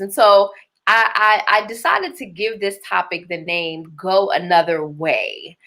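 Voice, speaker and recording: A young woman with a Baltimore accent hosts a live online show. Her voice is bright and forward. She is upbeat and chatty, laughs often and reads viewers' comments aloud. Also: medium pitch. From Baltimore, Maryland. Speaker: host. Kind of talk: live-stream chat with viewers.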